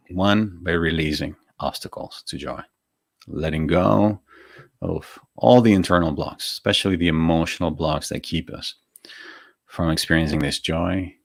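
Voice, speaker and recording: A man's voice: low voice, no echo, studio quality. A man with a Venezuelan accent, hosting a live stream and chatting informally and encouragingly before a guided meditation. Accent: Venezuelan Spanish. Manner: informal, gently encouraging, chatty asides to viewers. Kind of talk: casual spiritual talk before a guided meditation.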